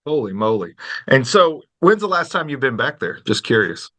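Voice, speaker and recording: A man hosting a conversational podcast interview in a folksy, polite, animated manner. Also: medium pitch, moderate pace. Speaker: podcast host.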